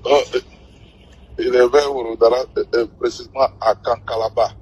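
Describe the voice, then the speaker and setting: A man with a West African French accent talking informally on a phone call, halting and often repeating himself. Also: mid-range voice, steady tone, darkish tone, husky. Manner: halting, repetitive phrasing. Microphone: telephone.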